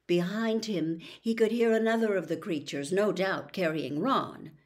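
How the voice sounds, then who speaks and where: A woman narrating a storybook as its reader, with an expressive, suspenseful delivery. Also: fairly low pitch. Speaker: audiobook reader.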